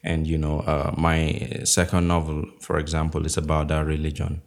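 A man from Nigeria, a novelist in his thirties, answering interview questions in a measured way with many fillers. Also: rumbling low, monotone, gravelly. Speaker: novelist guest.

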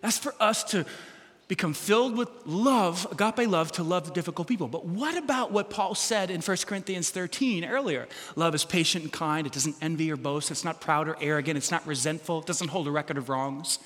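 A middle-aged man preaching in a large church hall, his high, bright voice carrying a long reverb. He tells stories about himself with animated, self-mocking energy and breaks into loud bursts. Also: brisk. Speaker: pastor. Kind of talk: sermon.

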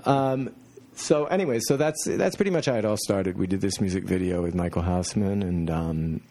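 A middle-aged man from France with a low voice and a mellow, dark tone. He tells his stories in a rambling way, full of fillers and asides, as a production designer being interviewed. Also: moderate pace. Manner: rambling anecdotes with many fillers. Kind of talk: casual interview storytelling.

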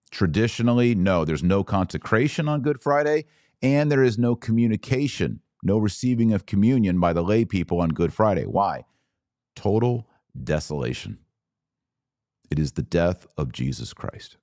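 Guy with a low deep voice doing a religious explainer podcast, chatty but teacherly, repeats stuff for emphasis, really dry sound. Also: a little mellow, no room sound. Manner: didactic, conversational, emphatic restatements. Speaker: podcast host.

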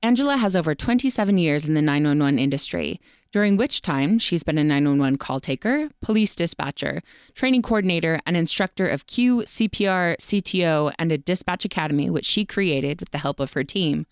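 A woman hosting a podcast in a conversational interview style, with a smooth, clear voice. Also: low-pitched, lively intonation, a little fast, no echo, studio quality.

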